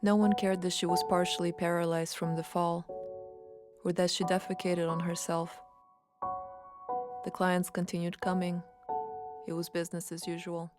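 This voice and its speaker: Grave, flat-voiced woman narrator. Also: fairly low pitch, normal speed, pauses often. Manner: steady, grave. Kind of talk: scripted documentary narration. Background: music.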